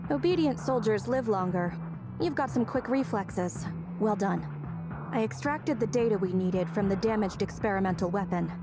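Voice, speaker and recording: Stern, disciplined woman soldier over loud music, acted. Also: mid-range voice, moderate pace, natural voice. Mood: commanding. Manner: clipped, formal military delivery. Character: stern, disciplined female soldier, loyal and guarded. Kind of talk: video game story dialogue. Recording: loud game music behind voice.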